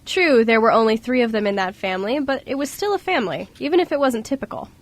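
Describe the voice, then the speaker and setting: High-voiced woman narrating a story. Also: expressive, fast. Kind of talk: scripted storybook narration for amateur radio drama.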